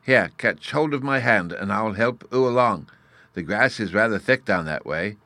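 A man's voice: medium pitch, unhurried, dry recording, voice pushed up. A man voicing Bruno, a lisping fairy boy. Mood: eager. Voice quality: childish lisp, says "oo" for you. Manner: pouting, petulant, lively. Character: small mischievous boy fairy, sulky. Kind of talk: storybook reading.

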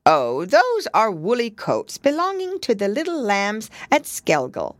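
Fussy humble hedgehog washerwoman, read by a woman, higher and louder than the narration, dry sound. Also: wildly swooping pitch, measured pace, no room sound. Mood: fussy, polite. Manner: deferential, repeats polite phrases.

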